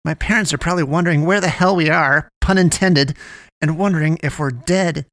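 A man's voice: fairly high pitch, quick pace, no room sound, clean studio sound, pitched above the performer's own voice, lightly acted. A man voicing an angry, distressed young woman in a story, with a snappy, whiny, emphatic delivery. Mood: sarcastic, angry.

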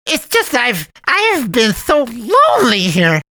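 A man's voice: extremely high pitch, wildly swooping pitch, takes it slowly, bright, forceful tone, dry recording, clean studio sound, pitched above the performer's own voice, heavily acted. A man voicing a jolly, chubby male cat man who lives in a dump, talking in a purring, folksy drawl. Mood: cajoling. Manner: sweetly cajoling, theatrical.

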